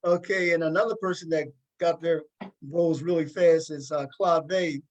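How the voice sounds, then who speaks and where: Higher-voiced male talk show host. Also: steady tone, moderate pace. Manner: hesitant, repeats words and phrases. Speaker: talk show host interviewing a play's cast. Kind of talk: casual interview.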